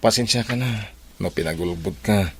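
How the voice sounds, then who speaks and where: A man's voice: lowish voice, moderate pace, a little hiss or hum, lightly acted. A man playing a tender, lovestruck young boyfriend in a radio drama, with an Ilocano accent. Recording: music bed behind the voice.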